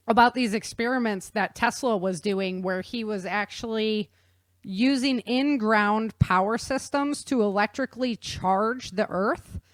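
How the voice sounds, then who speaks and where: A woman explaining things in an animated, conversational way with casual slang. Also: a little high, dry recording, studio quality. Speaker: guest author explaining research.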